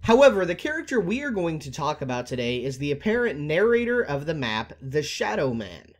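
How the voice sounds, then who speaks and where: A man with a fairly high voice narrates scripted gaming explainer videos. His delivery is animated and emphatic, with big swings in pitch and formal phrasing. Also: fairly quick. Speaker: video game lore YouTuber.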